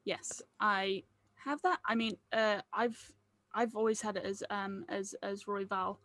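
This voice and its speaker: A woman's voice: medium pitch, fairly even intonation, unhurried, a bit bright, no room sound. A woman leading a script read, chatting casually in a jokey, self-deprecating way. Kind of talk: casual chat among a cast.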